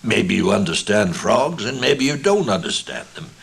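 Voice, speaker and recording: A man's voice: lowish voice, sing-song, normal speed, creaky, husky, exaggerated voice. A man voicing an easygoing, boastful frog gambler from an Old West tale, with a folksy American accent. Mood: confident. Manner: easy, careless, folksy. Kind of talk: Old West storybook reading.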